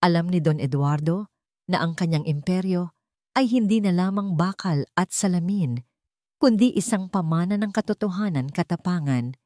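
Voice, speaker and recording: A woman reading a sentimental drama story as a scripted narration, in a measured, earnest storytelling manner. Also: lowish voice, warm tone, no echo, clean studio sound.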